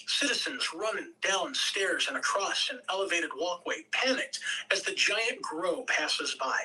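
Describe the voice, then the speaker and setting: Bright, lively woman narrating a script. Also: on the low side, distant mic, rough recording. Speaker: narrator reading stage directions. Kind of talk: scripted narration at a table read.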